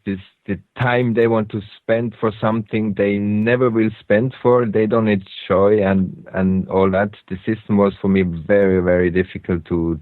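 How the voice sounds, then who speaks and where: A lower-voiced man with a Swiss German accent hosts a radio show, speaking English as a second language in a loose, unscripted monologue. He stops often to search for words and slips on grammar as he goes. His voice comes through a dry, tinny, phone-like line. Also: no echo. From Switzerland. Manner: halting, with frequent hesitations and non-native grammar.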